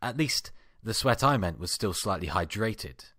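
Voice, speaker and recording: A man with a deep voice reads a first-person tale as an amateur narrator. His delivery is expressive and theatrical, acting out the exasperation in the text. The sound is dry, with very little room in it. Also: a little slow, no echo. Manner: expressive, acts out exasperated outbursts. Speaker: amateur fan fiction reader. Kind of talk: dramatic first-person story reading.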